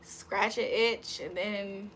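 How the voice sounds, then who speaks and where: A woman's voice: medium pitch, fairly even intonation, takes it slowly, fairly bright tone, slight background noise. A woman doing a casual livestream tarot reading, chatting with viewers in slangy talk and reading their comments aloud.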